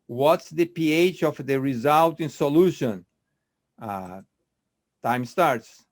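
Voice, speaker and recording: Man, quiz moderator, video call, measured, filler pauses. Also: a little high. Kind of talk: hosting an online quiz.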